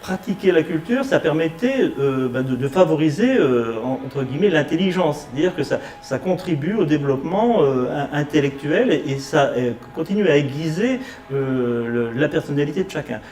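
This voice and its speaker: A man's voice: fairly high pitch, expressive, darkish tone. Middle-aged man reflecting in a roomy space. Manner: halting, reflective, searching for words. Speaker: cultural youth worker. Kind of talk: documentary interview, unscripted. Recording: roomy echo, music underneath.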